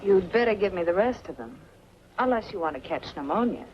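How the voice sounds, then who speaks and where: A woman's voice: medium pitch, animated, fairly quick, natural voice. An American actress playing a guarded, wistful young woman with a secret past in a 1940s film noir. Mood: guarded, brisk. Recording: muffled, noisy 1940s film soundtrack.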